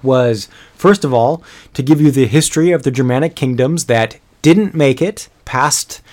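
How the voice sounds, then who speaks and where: A man's voice: medium pitch, moderate pace, darkish tone. A man narrating a history lesson in a casual, conversational way, with frequent fillers and restarts. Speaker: history educator.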